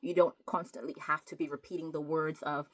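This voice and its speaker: A woman's voice: low-pitched, level tone, a little fast. A woman chatting casually as a book vlogger, talking emphatically and repeating words to stress them. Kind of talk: casual chatty vlog about writing.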